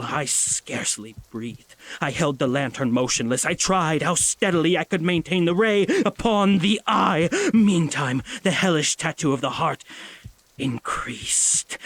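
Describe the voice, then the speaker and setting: A man's voice: high-pitched, dry recording. A man playing a nervous, obsessive mad murderer in a gothic horror audio drama, speaking theatrically and stumbling over repeated words. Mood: tense, hushed.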